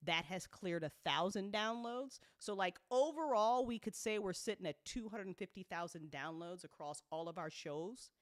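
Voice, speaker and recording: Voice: mid-range voice, normal speed, hardly any pauses, no room sound, clean studio sound. A podcast creator talking frankly and informally to listeners, swearing freely and repeating points for emphasis. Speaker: audio drama creator and network host. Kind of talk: candid informal business update to listeners.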